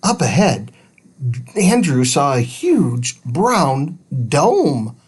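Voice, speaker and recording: A woman reading a children's storybook aloud as an expressive narrator. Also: sits low, drawn-out words, breathy edge. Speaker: storybook reader.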